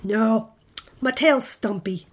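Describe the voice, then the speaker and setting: A woman's voice: a little high, takes it slowly. A woman voicing a big, scruffy male monster from a children's storybook, slurping and whiny as he tries to sound terrifying. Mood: boastful. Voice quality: slurping, slobbery.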